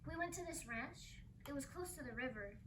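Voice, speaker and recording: A woman's voice: sits high, lively intonation, fairly quick. A young woman student actor playing a migrant farm girl remembering family life in a theatrical oral history piece. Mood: reminiscing. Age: young adult. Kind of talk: theatrical two-person oral history storytelling. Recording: distant mic, faint room noise.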